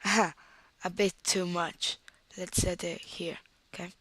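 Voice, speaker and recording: A young Italian woman with an Italian accent and a cold, talking through a screen-recorded software tutorial and thinking aloud as she clicks, at a desktop mic. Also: lowish voice, level tone, a little slow, slightly rough, no room sound. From Italy. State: has a cold.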